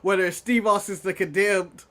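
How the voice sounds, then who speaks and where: A man's voice: high voice, fairly even intonation, normal speed, crowd noise. A man co-hosting a casual show, bantering and laughing often as he chats. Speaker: podcast co-host. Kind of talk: casual chat.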